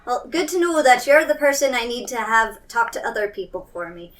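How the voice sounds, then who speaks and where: A woman improvising casually as a tabletop game player, talking in a hesitant, halting way. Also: on the high side, very animated, a bit bright. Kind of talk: casual improvised roleplay chat. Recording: distant mic.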